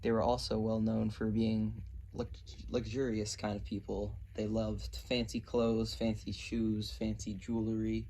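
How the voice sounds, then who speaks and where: Guy with a lowish voice reading out a q and a script, bit stumbly, some background hiss. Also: completely flat delivery, unhurried, few pauses, mellow. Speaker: presenter. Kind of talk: scripted narration in question-and-answer form, read aloud. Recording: noisy amateur recording.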